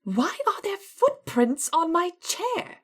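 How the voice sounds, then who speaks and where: An actress playing a stern, easily exasperated female laboratory scientist with a brisk, indignant manner in a video game. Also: extremely high pitch, big pitch swings, heavily acted.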